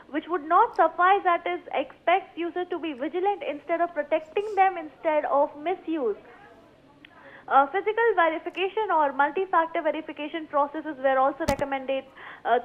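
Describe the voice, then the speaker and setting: A woman with a high voice and an Indian accent reports for the news. She reads out points formally but haltingly, over a narrow, phone-like connection. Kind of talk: live news report, reading out recommendations.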